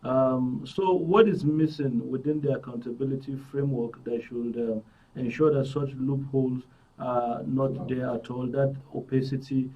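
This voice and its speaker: A man hosts a current affairs discussion in a dark, mellow voice. He phrases things formally and hesitates as he builds his questions. The recording is somewhat muffled and off-mic. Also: mid-range voice, steady tone, normal speed. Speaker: programme host. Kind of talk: live current affairs interviewing. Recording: dull, slightly distant studio sound.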